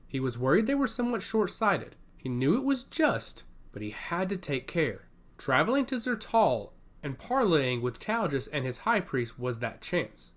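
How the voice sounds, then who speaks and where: A man's voice: fairly high pitch, fairly bright tone. A man narrating a scripted fantasy story as an audiobook.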